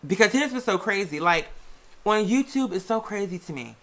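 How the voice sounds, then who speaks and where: A podcast host chatting casually and sassily to listeners, with theatrical, drawn-out emphasis on key words. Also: medium pitch, expressive, a bit bright. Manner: sassy, chatty, addresses listeners as "girl" and "y'all". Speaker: show host and music artist. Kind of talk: casual conversational monologue to listeners.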